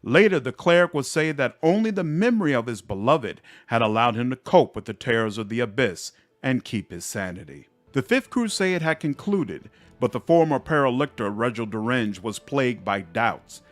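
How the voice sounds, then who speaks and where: A man narrating fantasy game epilogues in a measured, expressive storybook style. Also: medium pitch, no echo, lightly acted. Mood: solemn storytelling. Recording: music under the voice.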